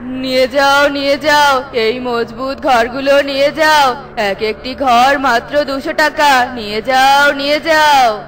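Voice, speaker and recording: An earnest little male songbird from a children's moral cartoon, voiced by a woman. The voice is high and plain-hearted, like an eager small trader. Faint music sits behind it. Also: fairly even intonation, takes it slowly, a bit bright. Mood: hawking.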